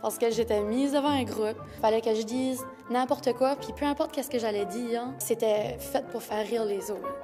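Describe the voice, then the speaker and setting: A woman from the Acadian Peninsula with an Acadian French accent, speaking earnestly and deliberately in a documentary interview. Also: a little high. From Acadian Peninsula, New Brunswick. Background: background music.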